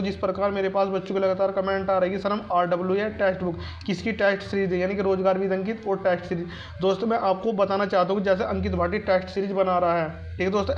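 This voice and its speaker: A man giving informal advice to viewers as a channel host, repeating his points for emphasis. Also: high voice. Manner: repetitive, emphatic with self-posed questions. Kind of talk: informal advisory talk to viewers. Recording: dull, lo-fi sound.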